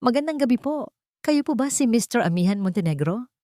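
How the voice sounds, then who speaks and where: A woman's voice: on the high side, expressive, brisk, mellow, dry recording, studio quality, pitched above the performer's own voice, lightly acted. A woman with a Filipino accent voicing a cold, formal male police officer in a narrated story. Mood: stern. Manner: formal, final.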